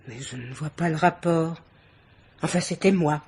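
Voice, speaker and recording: An actress playing a dignified, guarded middle-aged guardian aunt in a French radio mystery play, speaking with polite haughtiness. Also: female voice, sits low, normal speed, a little mellow, husky, heavily acted. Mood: guarded, offended. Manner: polite, haughty, carefully articulated indignation.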